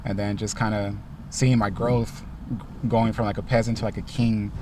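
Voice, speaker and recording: A young man from Los Angeles, a rapper, answering interview questions in a car in a relaxed way, with lots of ums and likes. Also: fairly low pitch, normal speed, recorded from a distance, background noise. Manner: laid-back, many ums and likes. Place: inside a parked car.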